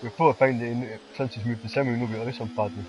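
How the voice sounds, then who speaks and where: Fast-talking co-commentator. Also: male voice, mid-range voice, steady tone, darkish tone, dull audio. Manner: quick interjections, banter, reads out lap times. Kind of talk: casual race commentary. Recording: music playing underneath. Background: music.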